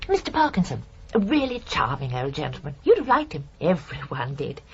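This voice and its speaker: An actress playing a genteel, chatty older British murderess in a radio drama, prim and sweetly matter-of-fact. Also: female voice, sits low, very animated, a little fast, a little mellow, highs cut off, slight background noise. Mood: brightly matter-of-fact.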